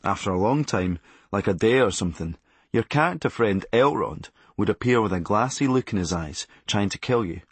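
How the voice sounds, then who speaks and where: A Scottish man reads a comic memoir in a fairly low, husky voice. His delivery is sardonic and blunt, with pitch that swings widely for dark comic effect. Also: expressive, normal speed, no room sound. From Scotland. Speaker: comedian narrating a memoir audiobook. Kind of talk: scripted comic memoir narration.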